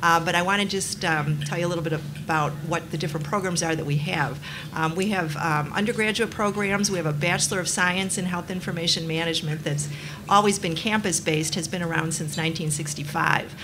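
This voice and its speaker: A woman with a slightly low voice speaks at a panel, pausing often and filling gaps with ums. She explains university programs in an unhurried, informal way. The room recording carries a clear layer of background noise. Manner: hesitant, many ums. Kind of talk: panel introduction. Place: event room.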